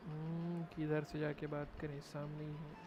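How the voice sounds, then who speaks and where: A man's voice: on the high side, monotone, very fast, muffled recording, music in the background. A man with an Indian accent, a native Hindi speaker, giving casual live commentary as a game streamer. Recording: noisy live stream with game audio behind.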